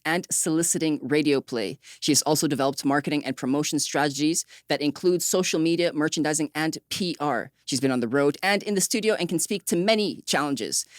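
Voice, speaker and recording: Interview host, mid-pitched, chatty and encouraging, very dry sound. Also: dry recording. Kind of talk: upbeat interview hosting with scripted introduction.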